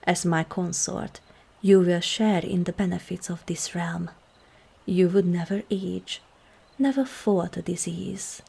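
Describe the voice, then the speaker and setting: Woman acting as a demon queen, husky, dark soft tone, slow and commanding, seductive, whispery ASMR fantasy roleplay. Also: fairly low pitch, fairly even intonation, exaggerated voice. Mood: persuasive, regal. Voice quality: breathy, husky. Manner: slow, deliberate, formal, often dropping into whispers.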